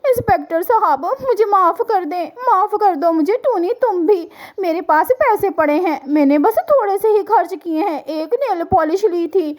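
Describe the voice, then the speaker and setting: Woman voicing a high-pitched greedy bird. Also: animated, normal speed, few pauses, darkish tone. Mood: pleading. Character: greedy, vain, sly female bird thief. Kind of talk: children's cartoon storytelling.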